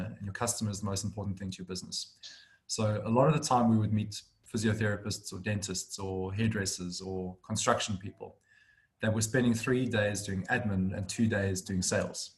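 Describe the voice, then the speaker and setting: A man's voice: on the low side, fairly even intonation, fairly quick. A man in his thirties from South Africa with a South African accent, a firm director telling his story fluently on a webinar. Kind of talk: webinar conversation.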